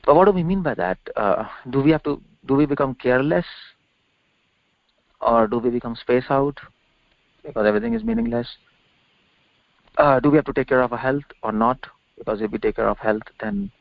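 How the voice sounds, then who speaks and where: Gravelly male preacher on a dull call. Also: mid-range voice, sing-song, fairly quick, long pauses, dry recording. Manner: emphatic, repeats phrases, poses rhetorical questions. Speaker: religious preacher and teacher. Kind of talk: scripture lecture, spoken off the cuff. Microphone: conference call. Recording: dull lo-fi conference-call audio.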